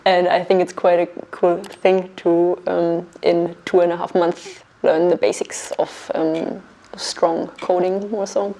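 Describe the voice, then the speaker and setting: A woman's voice: on the low side, flat delivery, slow pace, vocal fry, mellow, slight background noise. A young woman, a bootcamp student, talking candidly and a little tentatively about herself in a documentary interview. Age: young adult.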